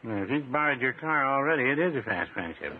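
Actor as a deadpan, fussy old druggist, hissy recording. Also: male voice, mid-range voice, fast, natural voice. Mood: dry. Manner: deadpan, hesitant, hemming. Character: dry, fussy older druggist, mildly contrary. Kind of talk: 1950s radio situation comedy acting. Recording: very noisy, tinny 1950s radio recording.

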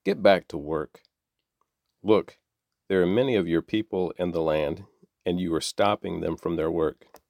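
A man's voice: deep voice, a little slow, a little mellow, no room sound, studio quality. A man voicing a stern, scornful ancient king who gives curt, dismissive orders.

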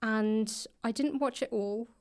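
A woman's voice: a little high, a little slow, a little mellow. A British woman talking casually as an interview guest. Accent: British English. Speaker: guest, author and actor. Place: indoors in a public library room.

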